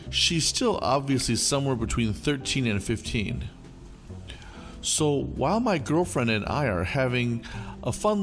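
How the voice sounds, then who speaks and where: Lively male story narrator. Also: medium pitch. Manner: lively, expressive reading. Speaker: storyteller channel host. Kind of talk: scripted narration of online stories. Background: faint background music.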